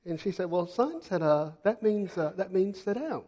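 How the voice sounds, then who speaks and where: A man with a high-pitched voice preaches to a church congregation. He is a missionary who tells folksy stories and repeats phrases for emphasis. His delivery swings from loud to hushed. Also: animated, moderate pace. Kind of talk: sermon with storytelling.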